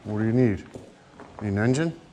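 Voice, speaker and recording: A Canadian man bantering casually and profanely in a joking sales-pitch way, as a vlog host. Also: animated, normal speed, mellow, lo-fi sound, a little hiss or hum. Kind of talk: casual banter, walkie-talkie chatter.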